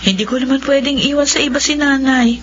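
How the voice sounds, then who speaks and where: An older sister, played by a woman in an old radio drama, pleading and full of apology. Her voice sits at a middle pitch. The dull lo-fi recording has a faint hiss. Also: brisk, natural voice. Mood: apologetic.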